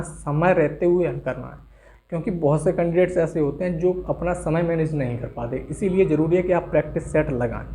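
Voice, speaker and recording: A man's voice: fairly high pitch, mellow. A man giving an instructional exam briefing straight to his viewers, mixing English terms into his speech. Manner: instructional, addresses viewers directly. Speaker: exam-preparation YouTube host.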